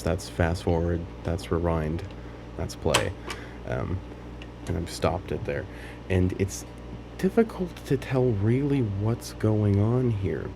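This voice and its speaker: Deep voiced guy doing a casual demo, kinda halting and unsure explaining stuff, pretty noisy recording. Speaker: hobbyist demonstrating a tape machine repair. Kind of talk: unscripted casual demonstration to camera.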